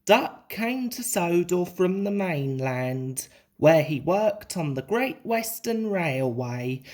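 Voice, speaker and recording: A woman reading a children's storybook aloud in an expressive, deliberate way. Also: lowish voice. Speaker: storybook reader.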